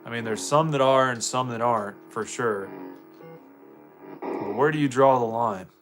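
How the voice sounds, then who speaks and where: A man's voice: medium pitch, normal speed, faint music behind. An American man chatting casually with viewers on a live game stream, laid-back, slangy and joking.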